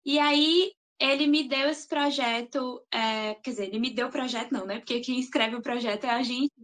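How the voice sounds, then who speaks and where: A woman. Her voice sits high, and she talks in a loose, chatty way, often ending her sentences with tag questions. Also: normal speed, a bit bright. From Salvador, Bahia, Brazil. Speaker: guest, master's student. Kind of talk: interview answers.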